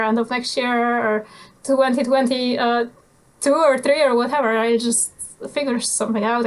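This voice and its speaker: A woman's voice: fairly high pitch, low-quality recording. Laid-back Polish-accented young woman. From Poland. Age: 20s. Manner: laid-back, nonchalant, halting with many fillers. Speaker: guest motorcycle traveller. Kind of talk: casual interview chat.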